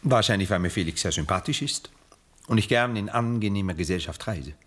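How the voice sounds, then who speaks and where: A man acts an aging Viennese gentleman in a classic radio drama. His voice is very low and slightly husky. He speaks in deliberate, rhetorical phrases, as a cool philosopher who keeps people at a distance. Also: close to an everyday voice. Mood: composed, cool. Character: aging detached aristocratic Viennese man, coolly philosophical bachelor. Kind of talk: 1960s German radio play acting.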